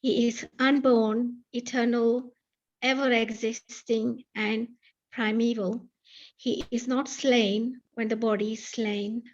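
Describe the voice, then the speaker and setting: Higher-voiced woman reading scripture translations. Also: lo-fi sound. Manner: measured, steady phrasing. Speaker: verse translator reader.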